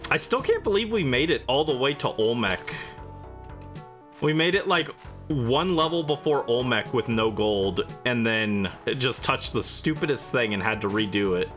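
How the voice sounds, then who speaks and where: Lively, bright-voiced man livestreaming games. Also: medium pitch, moderate pace, faint music behind. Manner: playful, chatty, responding to viewers. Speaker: livestream host. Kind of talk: casual gaming livestream chat with viewers.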